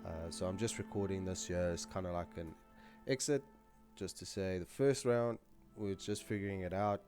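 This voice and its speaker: A man's voice: sits low, moderate pace, soft background music. A man with a nasal, stuffed-up voice, casually talking to viewers to close out a gaming video. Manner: relaxed, chatty, loose with fillers. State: stuffed-up, under the weather. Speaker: gaming channel host.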